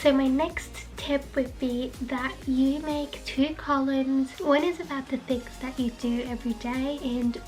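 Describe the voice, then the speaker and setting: A young woman vlogging to camera with a chatty, informal manner, her voice a little hoarse from a cold. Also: high-pitched, steady tone, a little slow, hardly any pauses, a little mellow, soft background music. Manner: chatty, apologetic, uses lots of fillers like basically. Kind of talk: casual talk to camera.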